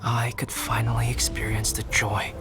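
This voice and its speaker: Man voicing a calm, scholarly young alchemist over music. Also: medium pitch, lightly acted. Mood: hushed, brooding. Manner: measured, articulate, formal scholarly phrasing. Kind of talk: scripted game dialogue, explanatory.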